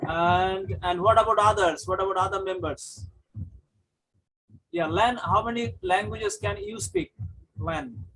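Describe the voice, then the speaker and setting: High-voiced man hosting a video call. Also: very animated, measured pace, creaky, long pauses, a bit bright, poor audio. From India. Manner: hesitant, repeats himself. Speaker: host. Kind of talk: casual online group chat.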